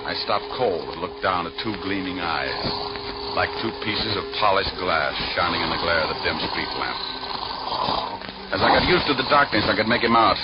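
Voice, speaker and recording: A wisecracking private eye with a deep, deadpan delivery. He narrates like classic 1940s radio noir. The sound is a dulled old broadcast with music underneath. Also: moderate pace, dull audio. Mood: wry, tense. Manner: wry, deadpan. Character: wisecracking hard-boiled male private detective. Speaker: lead actor and narrator.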